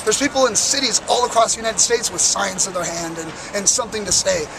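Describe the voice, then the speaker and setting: A young man with a raspy, worn voice, speaking earnestly and with passion as a protester in an outdoor street interview, with frequent fillers. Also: high-pitched, fast, noisy background. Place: outdoors on a city plaza by traffic.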